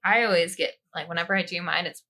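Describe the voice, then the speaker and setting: A woman's voice: fairly low pitch, quick pace, pushed and bright. A woman co-hosting a podcast, giving a tarot reading in an informal, joking way and reading card meanings aloud.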